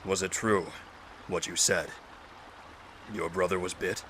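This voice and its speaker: A man's voice: deep voice, fairly even intonation, unhurried, a bit bright, slight background noise. A man playing a stern, impatient investigator in an audio drama, insistent and formal as he lays out his case. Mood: exasperated, probing. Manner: insistent, exasperated, formal explanatory phrasing. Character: stern, impatient male investigator with an injured wrist. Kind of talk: amateur audio drama acting.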